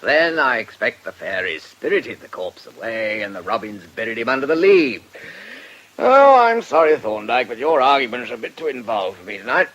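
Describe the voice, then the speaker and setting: An actor playing a sceptical, sarcastic police superintendent in a British radio drama, blunt in manner, with an English accent. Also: male voice, medium pitch, sing-song, moderate pace, a bit bright, poor audio. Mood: scornful, impatient.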